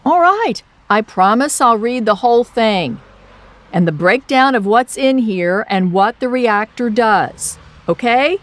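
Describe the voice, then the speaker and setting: A woman's voice: a little high, lively intonation, a little slow. A woman playing an earnest, kind young boy in a radio play, questioning, exclaiming and coaxing.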